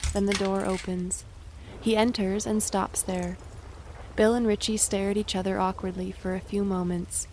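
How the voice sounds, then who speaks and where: A woman's voice: mid-range voice, a little mellow, a little hiss or hum, natural voice. A woman narrating an audio drama, reading out scripted stage directions.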